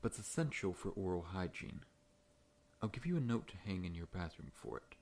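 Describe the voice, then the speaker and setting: A man playing a large, friendly scorpion monster dentist in an ASMR roleplay, talking in a gentle, reassuring, professional way. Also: sits low, very animated, a little fast, vocal fry, heavily acted.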